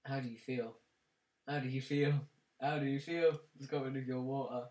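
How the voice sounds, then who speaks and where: A woman's voice: a bass voice, fairly even intonation, moderate pace, a little mellow, far from the mic. A woman making dry, teasing remarks beside a friend playing a game. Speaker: co-host. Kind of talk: casual banter. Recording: roomy echo.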